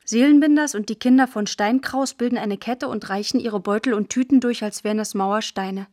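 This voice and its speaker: A woman's voice: fairly high pitch, a little fast, a little mellow, dry recording, studio quality, lightly acted. An actress playing a postwar schoolgirl who tells her story in plain, clipped first-person radio drama narration. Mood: brisk, observant. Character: matter-of-fact postwar schoolgirl telling her scavenging adventures.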